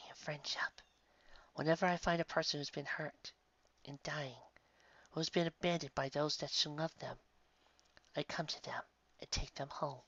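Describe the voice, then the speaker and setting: A narrator voicing a gentle, regal female winged unicorn in a storybook, hushed, slow and tender. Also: mid-range voice, muffled recording, voice pushed up. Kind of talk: acted storybook character voice.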